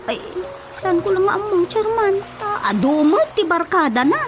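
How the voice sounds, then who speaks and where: A woman's voice: very high voice, big pitch swings, unhurried, noisy background, full character voice. A woman playing a polite, troubled villager who defers to her elders in a radio drama, with an Ilocano accent. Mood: worried. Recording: radio drama with loud music bed.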